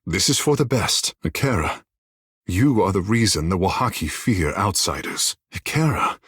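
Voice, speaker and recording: Flamboyant, theatrical island demigod, swooping delivery. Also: male voice, low-pitched, very animated, moderate pace, a bit bright, slightly rough, no echo, studio quality, exaggerated voice. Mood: earnest, reproachful. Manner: theatrical, lofty, courtly phrasing. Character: flamboyant, vain, charming godlike islander man, water shaper. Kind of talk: video game character dialogue.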